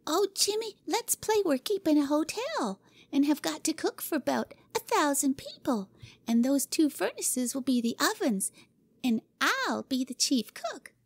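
A woman voicing a bossy, excitable little girl in a storybook reading, shrill and commanding. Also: measured pace, no room sound, exaggerated voice. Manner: shrill, imperious, gleeful.

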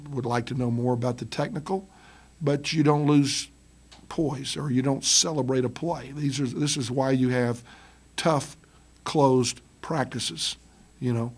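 A middle-aged man, a basketball head coach, answering reporters after a loss, halting with many ums and restarts, speaking into a table microphone. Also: mid-range voice, steady tone, slightly rough. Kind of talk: post-game press conference. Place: press room with curtain backdrop. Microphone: table mic on stand.

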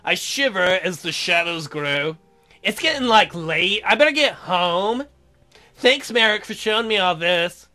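A man's voice: sits high, expressive, measured pace, sharp tone, heavily acted. A man voicing an eager young woman diver, the smitten, gushing heroine of a romance visual novel.

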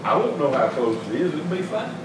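Middle-aged man, pastor, a bit high-voiced, conversational preaching style, heard from a distance in a reverberant sanctuary. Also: big pitch swings, brisk. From Georgia, US. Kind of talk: sermon. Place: small church sanctuary, indoors. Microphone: stand mic at pulpit, filmed by far camera. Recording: very echoey church room, distant mic, noisy.

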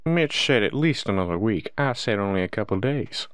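A man's voice: lowish voice, lively intonation, normal speed, a little hiss or hum, close to an everyday voice. A man playing a joking, easygoing werewolf brother in an audio drama, with a playful, teasing manner. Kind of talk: roleplay audio drama acting.

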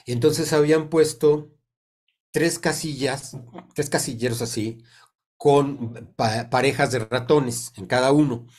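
Slow-talking older Mexican man, congested. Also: mid-range voice, steady tone, a little mellow. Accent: Mexican Spanish. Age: 60s. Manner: halting, deliberate, with many pauses. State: congested, getting over a cold. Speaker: sound therapy teacher. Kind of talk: informal explanatory online talk. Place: indoor room on a video call. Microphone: computer mic on a video call.

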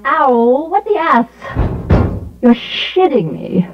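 A woman's voice: sits high, very animated, takes it slowly, soft background music, heavily acted. A woman playing an irritable, exasperated female enforcer who grumbles and complains in an audio drama, muffled. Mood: irritated. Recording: dull, muffled sound.

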